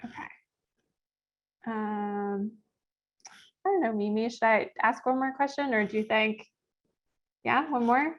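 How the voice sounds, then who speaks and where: Higher-voiced woman, tentative panel moderator. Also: fairly even intonation, measured pace, long pauses, lo-fi sound. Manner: tentative, searching, self-correcting. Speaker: moderator and poetry reader. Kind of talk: informal Q&A moderating.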